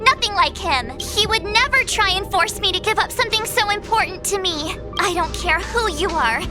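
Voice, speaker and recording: A woman's voice: very high-pitched, expressive, normal speed. A woman voicing a perky, stubborn young heroine in an anime-style video game. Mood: defiant. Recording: music bed under game dialogue.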